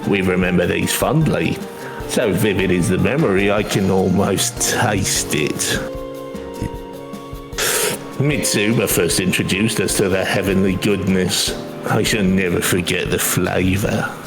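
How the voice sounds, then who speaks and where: A man's voice: low voice, a little slow, music in the background, pitched below the performer's own voice. A man voicing a proud steppe tribesman with stately, archaic phrasing in a game. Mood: wistful.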